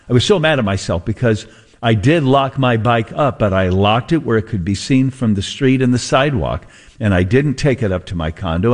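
Low-voiced American male radio host. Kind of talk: conversational radio talk, reading listener messages.

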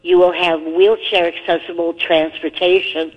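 A woman's voice: lowish voice, level tone, normal speed. Woman caller on the radio, folksy advice, dull muffled phone sound. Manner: folksy, gives practical advice. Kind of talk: call-in radio chat. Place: phone call into a radio show. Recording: muffled phone-line call audio.

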